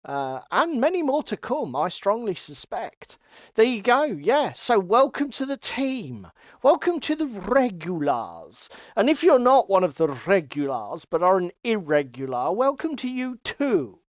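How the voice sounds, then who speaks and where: A man's voice: high-pitched, big pitch swings, unhurried, no room sound, dull audio. A middle-aged man with an English East Midlands accent hosting a casual livestream, bantering with chat in a sweary, jokey, mock-exasperated way. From East Midlands, England. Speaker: livestream host. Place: small indoor room at home.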